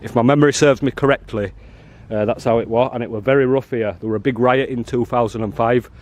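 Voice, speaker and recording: A man filming outside a prison, talking loudly and bluntly to the officers and to his viewers. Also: medium pitch, fairly even intonation, fast talker. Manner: loud, blunt and challenging, calls people "love" and "mate". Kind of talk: confrontational street filming with commentary to viewers.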